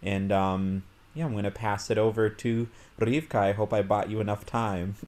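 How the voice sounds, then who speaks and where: A man from Illinois explains his media role in a calm, thoughtful introduction. His voice is very low, and he talks in a measured, earnest way with a few pauses. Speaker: digital media producer. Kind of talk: casual group introduction.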